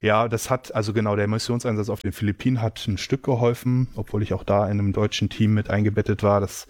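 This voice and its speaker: A man's voice: on the low side, fairly even intonation, fast talker, darkish tone, no room sound. A man giving reflective, explanatory answers in a casual conversational interview. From Germany. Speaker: interview guest.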